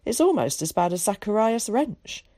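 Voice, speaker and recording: A woman's voice: mid-range voice, big pitch swings, normal speed, warm tone, dry recording, studio quality, voice pushed up. A woman voicing a voluble, well-spoken young boy in a storybook reading. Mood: chatty. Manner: cultured, voluble.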